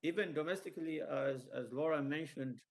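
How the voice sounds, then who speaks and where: Halting male professor with a creaky voice. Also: a little high. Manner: halting, hesitant delivery. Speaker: professor, panel discussant. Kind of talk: formal conference remarks.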